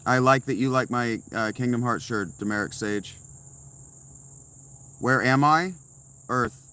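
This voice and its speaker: Guy livestreaming in his tent, bright forceful voice, snarky comebacks to chat. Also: mid-range voice, measured pace, clean tone. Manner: blunt, sarcastic replies to chat. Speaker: live streamer answering chat. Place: inside a tent.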